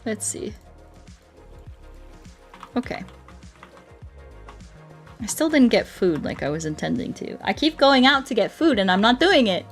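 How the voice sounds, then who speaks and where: A woman's voice: a little high, big pitch swings, measured pace, pauses often. A woman livestreaming a game, chatting casually and playfully with her viewers in a rambling way. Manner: playful, rambling, talks to chat. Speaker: game streamer. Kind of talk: casual livestream chat while playing a game. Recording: music behind the voice. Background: music.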